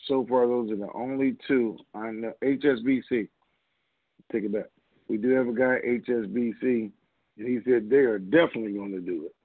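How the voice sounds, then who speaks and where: A man's voice: medium pitch, steady tone, a little fast, dry recording. A man hosting a casual question-and-answer conference call, talking in a blunt, offhand, informal way over a phone line.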